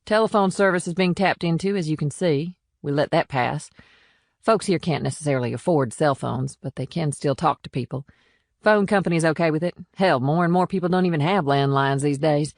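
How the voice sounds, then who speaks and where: A man's voice: on the high side, brisk, vocal fry, no echo, studio quality, voice pushed up. A man voicing a firm, plainspoken small-town policewoman who defends her townsfolk in audiobook dialogue. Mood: matter-of-fact.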